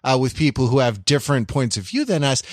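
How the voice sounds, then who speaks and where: Man, mid-pitched voice, dry close sound, halting casual analysis, podcast co-host. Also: very animated, no room sound. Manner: halting, repeats words while working out points. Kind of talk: casual analytical chat about television.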